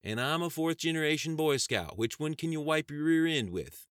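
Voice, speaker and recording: A man's voice: mid-range voice, sing-song, brisk, bright, forceful tone, dry recording, studio quality, pitched above the performer's own voice. A man voicing a cantankerous gray-haired country sheriff in his fifties with an American country drawl, for a narrated story.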